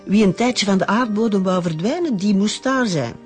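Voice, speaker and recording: Woman acting old nun, animated pitch, gossipy, unrepentant, radio play, faint music. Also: normal speed, lightly acted. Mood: reminiscing, self-satisfied. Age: older.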